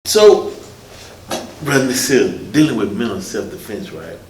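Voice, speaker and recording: A man's voice: fairly low pitch, big pitch swings, a little slow. A man from the United States hosting a casual interview, agreeable and chiming in with short affirmations. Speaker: radio show host, interviewer. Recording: distant mic with loud street and car noise.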